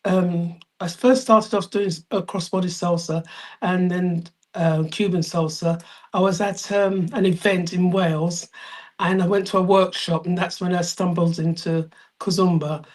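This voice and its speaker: A woman's voice: lowish voice, fairly even intonation, unhurried, darkish tone. A woman talking casually about herself as a guest on a panel show. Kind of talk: casual self-introduction.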